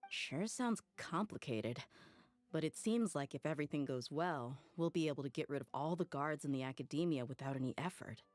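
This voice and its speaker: A woman's voice: sits low, brisk, darkish tone, no room sound, close to an everyday voice. An actress playing a confident, easygoing young woman in a video game, casual and wry. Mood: wry, impressed.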